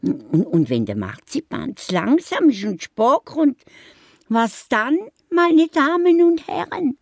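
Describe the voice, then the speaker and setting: A bossy little magic mouse with a Swiss German accent. The delivery is slow and theatrical, with big swings in pitch, as in a children's radio play. The sound is close and dry. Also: female voice, very animated, darkish tone, dry recording, heavily acted. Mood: anxious, sneaky. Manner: theatrical, addresses listeners like a showman.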